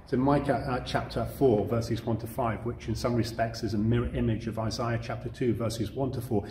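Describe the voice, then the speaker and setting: British guy in his 50s preaching, deliberate phrasing, roomy echo and some noise behind. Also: medium pitch, warm tone. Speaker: Salvation Army officer preaching. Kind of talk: sermon to camera for online church service. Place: wood-panelled room indoors.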